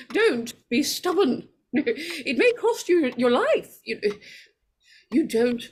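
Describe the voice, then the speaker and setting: Woman playing a superstitious nervous lady, old radio horror, high pitch, wildly swooping intonation, stammering, insistent. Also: exaggerated voice. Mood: anxious pleading. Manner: halting, stammering, repeating herself.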